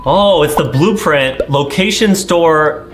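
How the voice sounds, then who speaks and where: A man's voice: a little high, slow. A man in his twenties giving casual, agreeable and amazed reactions as a gaming co-host. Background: faint game music.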